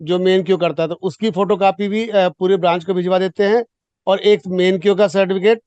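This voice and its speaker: Male meeting participant, notably high voice, conversational, dry lo-fi conference line. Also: steady tone, a little fast, darkish tone, no echo. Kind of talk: casual work discussion. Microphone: online conference call. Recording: muffled lo-fi conference call.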